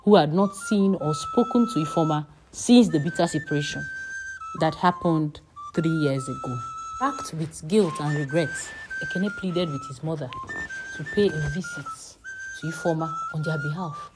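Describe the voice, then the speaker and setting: A woman narrates a folktale with dramatic, scripted delivery. Her voice is somewhat low, and its pitch rises and falls freely. Quiet music runs underneath. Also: huge pitch swings, moderate pace, poor audio. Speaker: storyteller.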